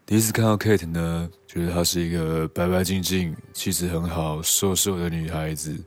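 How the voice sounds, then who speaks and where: A man's voice: sits low, steady tone, normal speed, faint music behind. A man giving a short prepared message of introduction and praise. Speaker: model leaving a recorded message.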